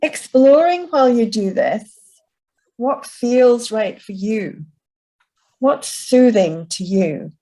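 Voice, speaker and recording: A woman guiding a group through a gentle self-soothing session, speaking softly and soothingly. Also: on the high side, lively intonation, low-quality recording. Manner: soft, slow and soothing. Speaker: relaxation instructor.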